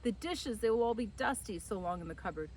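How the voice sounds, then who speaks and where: A woman voicing an excitable, fretful Italian mother in a storybook, exclaiming and repeating herself as she fusses. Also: fairly high pitch, fairly quick, a little hiss or hum. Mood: flustered. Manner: exclamatory, repetitive, fussing.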